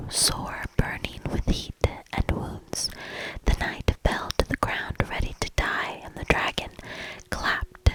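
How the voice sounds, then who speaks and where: A woman reading a fairy tale aloud in a breathy, hushed ASMR whisper with a measured delivery. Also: low-quality recording. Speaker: ASMR storybook reader.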